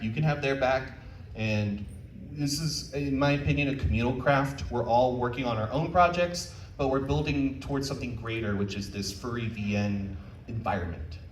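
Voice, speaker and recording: A man speaking as a panelist at a convention, informal and conversational, with frank encouragement. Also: medium pitch. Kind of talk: informal convention talk with audience questions. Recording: roomy, distant mic, faint background noise.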